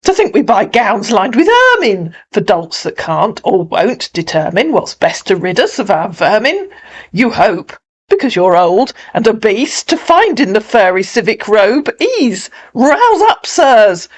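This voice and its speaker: An angry storybook townsperson, acted by a woman with an English accent. The delivery is scolding and indignant, with very lively rises and falls. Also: medium pitch, a little slow, pitched above the performer's own voice. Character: angry townspeople berating their useless rulers.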